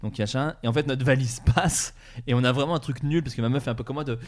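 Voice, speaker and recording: A man hosting a podcast, telling stories in a casual, comedic and animated way and acting out bits of dialogue. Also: medium pitch, quick pace. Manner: animated, informal, acts out dialogue. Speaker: podcast host.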